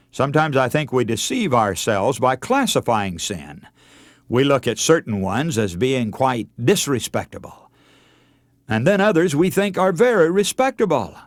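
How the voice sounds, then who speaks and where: A man delivers a radio sermon with earnest emphasis and dramatic pauses. His voice swings widely in pitch as he presses each point. The sound is close and dry, with no room around it. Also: no echo. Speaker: radio preacher.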